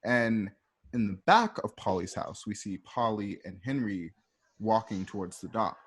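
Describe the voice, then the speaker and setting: A man narrating scene directions for a radio drama in an informal, halting way with small asides. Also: lowish voice, animated, a bit bright, slightly rough.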